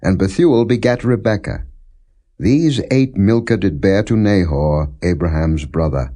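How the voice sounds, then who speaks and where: A man narrating scripture as a scripted, non-dramatized reading, in a solemn, measured and deliberate manner. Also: deep voice, dark tone.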